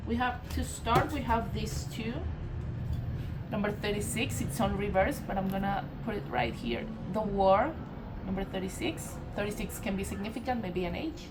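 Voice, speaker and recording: A Colombian-accented woman talks through a spiritual tarot reading in a loose, wandering way, stopping often with fillers and correcting herself. Her voice sits at an ordinary middle pitch for a woman. A steady background noise runs clearly under the home recording. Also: moderate pace. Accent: Colombian Spanish. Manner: meandering, many fillers and self-corrections, soft laughs. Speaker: tarot reader. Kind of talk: casual spiritual channeled reading.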